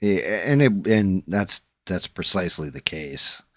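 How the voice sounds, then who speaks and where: A man's voice: sits low, big pitch swings, unhurried, no room sound, studio quality. A man hosting a casual livestream chat, blunt and swearing freely, often restarting his sentences. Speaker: livestream host. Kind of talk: casual chat while 3D printing and painting.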